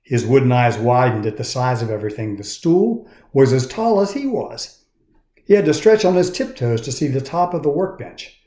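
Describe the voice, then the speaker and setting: Older man, children's storyteller, expressive read-aloud, lively pitch swings, echoey room. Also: normal speed. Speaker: storyteller reading a children's book. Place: indoors in a woodworking shop. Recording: roomy, echoey sound.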